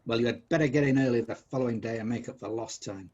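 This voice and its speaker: An actor playing a miserly, bad-tempered old businessman in a comic radio drama, husky, sarcastic and blustering. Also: mid-range voice, fast, darkish tone, lo-fi sound, close to an everyday voice. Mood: irritable nagging.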